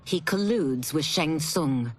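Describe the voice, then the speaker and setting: A woman's voice: deep voice, animated, slow, noisy background. A woman playing an earnest warrior in a video game, speaking in a formal, serious way. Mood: earnest, grave. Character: earnest female warrior who left an evil master. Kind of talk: video game cutscene acting.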